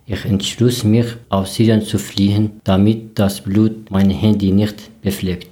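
A man in his thirties from Syria, a podcast guest, speaking carefully and deliberately with some fillers. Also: on the low side, flat delivery, warm tone. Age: 30s. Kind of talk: casual podcast interview.

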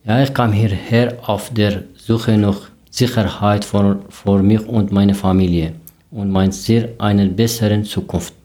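A man in his thirties from Syria, a podcast guest, speaking carefully and deliberately with some fillers. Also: fairly low pitch, fairly even intonation, dark tone. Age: 30s. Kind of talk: casual podcast interview.

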